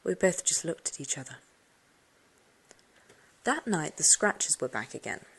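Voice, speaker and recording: A woman reading a children's suspense story aloud, expressively, with dramatic hushed moments. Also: deep voice, very animated, unhurried, creaky, slightly rough. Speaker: audiobook narrator. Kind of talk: dramatic first-person storybook narration for children.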